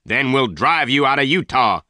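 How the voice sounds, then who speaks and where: A man's voice: sits low, a little slow, bright, forceful tone, no echo. A man voicing an angry, domineering gang leader who makes harsh threats.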